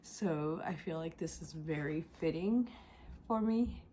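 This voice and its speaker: Vlog host, female, lower-pitched, unhurried delivery, raspy from a cold, slight background hiss. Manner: soft, hesitant, many ums. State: sore throat, raspy and congested. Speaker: craft channel host. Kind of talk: casual unboxing vlog.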